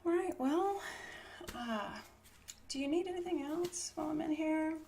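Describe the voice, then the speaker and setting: Woman, higher-pitched but mellow, casual reassuring ASMR nurse roleplay, noticeable background noise. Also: a little slow. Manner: casual, reassuring bedside explaining. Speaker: ASMR creator playing a trauma nurse. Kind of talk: ASMR medical roleplay, personal attention.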